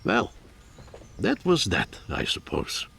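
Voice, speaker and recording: Game lead: pompous, brooding little inventor creature, very low pitch, wildly swooping melodramatic delivery. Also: male voice, huge pitch swings, takes it slowly, vocal fry, a bit bright, slight background noise, exaggerated voice. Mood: melancholy. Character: Leopold, fluffy wealthy engineer creature, self-pitying, stubborn, theatrical. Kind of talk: dramatic first-person game narration.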